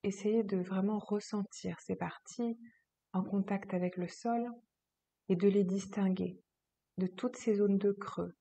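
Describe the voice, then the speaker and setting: A woman yoga teacher leading a guided relaxation meditation, speaking slowly and calmly in a soft, husky voice with long pauses. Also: mid-range voice. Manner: slow, calm, soothing instruction with long pauses.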